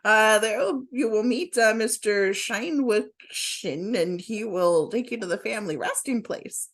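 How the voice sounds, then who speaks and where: A woman voicing an elderly German grandmother who talks haltingly with many pauses. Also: medium pitch, close to an everyday voice. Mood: solemn. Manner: halting, hesitant speech with many uh's. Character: old German grandmother, fussy and doting.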